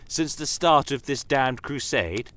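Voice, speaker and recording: Non-human commander for fantasy audio drama, male actor: very slow, measured, courteous menace, shrewd and bitter. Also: medium pitch, animated, fairly bright tone, pitched above the performer's own voice. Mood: pointed, probing. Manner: measured, theatrical, pointed rhetorical questions. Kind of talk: dramatic first-person audio story narration. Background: battle aftermath ambience.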